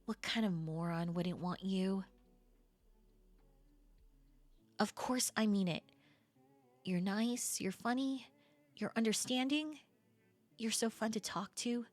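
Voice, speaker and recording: Woman acting flirty, expressive best friend, close and dry. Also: mid-range voice, no echo, lightly acted. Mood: indignant, protective. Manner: casual, expressive, hesitant trailing-off phrases. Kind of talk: intimate audio roleplay acting, conversational.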